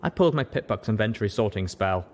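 A man's voice: lowish voice, expressive, fast, a little hiss or hum. A man reading scripted story narration as an audiobook narrator. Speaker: audiobook reader.

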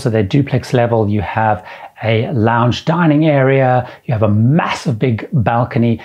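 A man's voice: mid-range voice, darkish tone, slightly rough. A middle-aged man presenting to camera, enthusiastically listing features with repeated superlatives. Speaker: cruise travel vlogger. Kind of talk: presenting to camera, touring suites. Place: indoor room with framed ship posters. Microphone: lapel mic, camera framed at chest.

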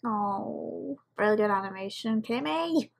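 A woman giving casual reaction commentary on an animation, murmuring short asides and reading names haltingly. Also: medium pitch, animated, creaky. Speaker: reaction video host. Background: music from the video being watched.

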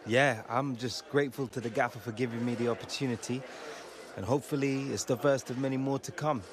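A man's voice: medium pitch, steady tone, fairly quick, a crowd in the background, natural voice. A man playing a humble young footballer in a video game cutscene. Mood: humble, pleased. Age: young adult. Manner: humble, easygoing. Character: modest, polite young male footballer. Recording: noisy background ambience.

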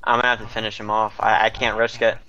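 A man's voice: lowish voice, little pitch movement, fast talker, sharp tone. A young man playing a survival game with a friend, giving nervous play-by-play and pleading with strangers not to shoot. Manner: jittery play-by-play, pleads with other players. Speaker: co-player. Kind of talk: casual gaming chat. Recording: dull lo-fi voice chat.

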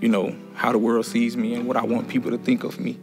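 A man's voice: mid-range voice, completely flat delivery, fast talker. A man in a prison writing class speaking earnestly and reflectively, in talk and recited verse. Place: room inside a prison. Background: soft guitar music.

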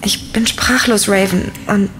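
A woman's voice: medium pitch, steady tone, measured pace, fairly bright tone, a little hiss or hum, close to an everyday voice, poor audio. An actress playing an earnest, curious young woman in a horror audio drama, questioning and sympathetic. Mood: shaken, sympathetic.

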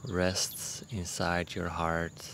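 A man leading a guided meditation in a gentle, unhurried manner, with long pauses between short phrases. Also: low-pitched, little pitch movement, gravelly, a little hiss or hum. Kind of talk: guided meditation, soothing instruction.

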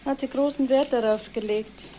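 A woman's voice: high voice, steady tone, moderate pace, dark tone, close to an everyday voice. A woman acting a guarded witness in a crime radio play. She is reserved and matter-of-fact, with faint noise behind her. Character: reserved woman, bereaved niece questioned by police.

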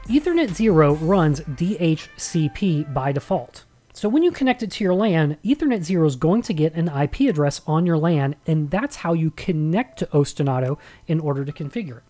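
An American man in his thirties presents a tutorial with a mellow, dark timbre. His voice sits a little high for a man, and he explains in a conversational, emphatic way. He is close to a studio microphone, with music in the background. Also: animated, normal speed. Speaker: instructor and video host. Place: small home studio room. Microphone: close studio mic on boom arm.

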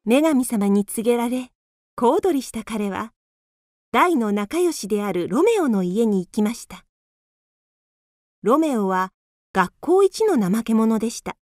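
Woman narrating a storybook calmly. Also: fairly high pitch, unhurried, pauses often, no room sound, studio quality. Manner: calm, even storytelling.

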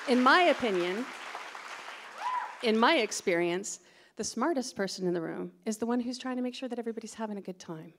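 A woman's voice: on the high side, animated, a little fast, a crowd in the background, noisy background. Middle-aged american woman, stand-up set on a theatre stage, chatty and giggly, pokes fun at herself. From California. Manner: chatty, giggly, self-deprecating. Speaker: stand-up comedian. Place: theatre stage before a live audience. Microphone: stand microphone.

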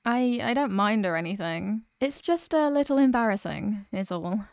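A woman playing a sweet, sleepy workaholic girlfriend in an ASMR audio roleplay, speaking softly and hesitantly with affection. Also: medium pitch, animated, moderate pace, no echo, close to an everyday voice. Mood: shy, loving.

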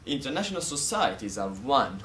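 A man's voice: mid-range voice, sing-song, brisk, fairly bright tone, slight background noise. A young man presenting a feature in scripted narration. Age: young adult. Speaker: student TV presenter.

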